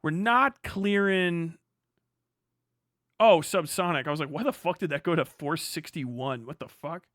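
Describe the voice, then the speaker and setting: A man livestreaming casually, muttering figures as he reads and thinking aloud in halting bursts with plenty of swearing. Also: fairly high pitch, a bit bright, dry recording, studio quality. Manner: swears freely. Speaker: live streamer.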